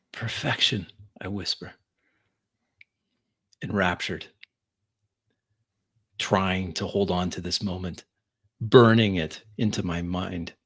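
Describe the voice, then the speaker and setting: Slow, low-voiced man narrating roleplay. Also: a bit bright, slightly rough. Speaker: player in a tabletop roleplaying game. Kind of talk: in-character first-person roleplay narration.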